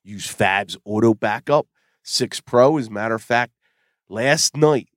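A man's voice: fairly low pitch, unhurried. A middle-aged man hosts a tech podcast while he fights a cold, his voice worn down. He rambles in a chatty way, with fillers and false starts. The sound is dry and close, with no room echo. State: sick with a cold, losing his voice. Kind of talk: casual solo podcast talk.

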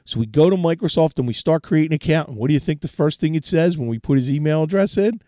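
Hoarse man hosting a tech show. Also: on the high side, lively intonation, quick pace, mellow, no room sound, clean studio sound. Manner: chatty, advice-giving, restarts sentences. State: sore throat, losing his voice. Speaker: tech podcast host. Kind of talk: casual solo tech talk.

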